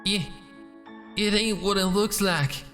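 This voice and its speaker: A frightened young girl pony from a story, acted out by a man. The voice is pitched well up and comes haltingly, stammering as it pleads. Soft music plays underneath. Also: long reverb tail. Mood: stammering, nervous.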